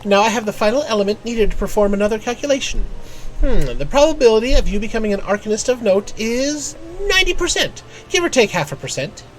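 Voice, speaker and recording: A woman's voice: fairly high pitch, big pitch swings, fast talker, pitched above the performer's own voice, low-quality recording. A performer voicing a bookish young woman, a precise and absent-minded arcanist tutor in a fantasy game, speaking formally and wordily. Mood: pedantic. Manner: formal, verbose, analytical. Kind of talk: reading game character dialogue aloud.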